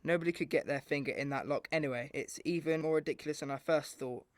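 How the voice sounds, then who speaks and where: A man's voice: on the high side, fast talker, close to an everyday voice. A scornful city military man in a radio drama, acted by a man. He talks down to everyone in a pompous, impatient way and has no time for superstition. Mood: condescending. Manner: pompous, condescending. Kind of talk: radio play acting.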